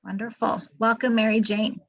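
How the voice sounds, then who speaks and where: A woman's voice: on the high side, level tone, normal speed, lo-fi sound. A woman hosting a club meeting over a video call, upbeat and encouraging. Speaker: meeting host.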